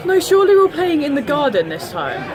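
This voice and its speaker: High-voiced young woman chatting. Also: very animated, fast, a crowd in the background. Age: 20s. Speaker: daughter, vlogger. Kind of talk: casual chat. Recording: chattering public room.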